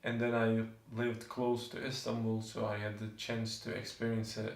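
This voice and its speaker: A man's voice: lowish voice, completely flat delivery, normal speed, roomy sound. A man in his twenties from southern Turkey, with a Turkish accent, speaking reflectively in an interview and hesitantly searching for words. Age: 20s. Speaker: student, documentary subject.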